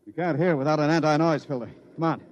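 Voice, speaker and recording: A commanding American chief engineer played by a male actor in an old radio play, his voice fairly high for a man. He snaps out clipped, authoritative orders over a lightly noisy vintage recording. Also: fast talker, lo-fi sound, natural voice. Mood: brisk, urgent. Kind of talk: old-time radio drama acting.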